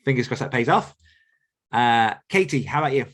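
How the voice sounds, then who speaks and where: A man's voice: medium pitch, animated, fairly quick, fairly bright tone. A man hosting a casual interview, encouraging his guests and passing questions along. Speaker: podcast host.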